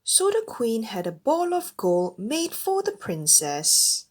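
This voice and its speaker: Very animated woman reading a children's story. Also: on the high side, slow pace, breathy edge. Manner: animated, addressing young children and parents. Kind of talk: expressive read-along storybook narration.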